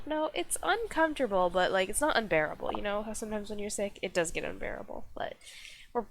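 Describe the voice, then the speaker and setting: A woman's voice: mid-range voice, a bit bright. Congested woman chatting on a live stream. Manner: chatty, rambling, many fillers. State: congested, slight cold. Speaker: streamer playing a visual novel. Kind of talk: casual live-stream chat.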